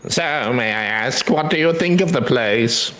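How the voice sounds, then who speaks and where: A man's voice: medium pitch, lively intonation, a little slow, a bit bright. A man playing a humble, earnest rice farmer in a game, speaking politely and formally. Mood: humbly pleased. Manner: formal, courteous phrasing. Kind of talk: scripted game dialogue read aloud. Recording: roomy echo, music behind. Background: music.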